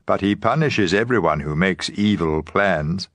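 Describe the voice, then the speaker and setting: A man's voice: deep voice, measured pace, fairly bright tone, no echo. A man giving a dramatized reading of scripture as an audio Bible reader. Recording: prominent background music. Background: music.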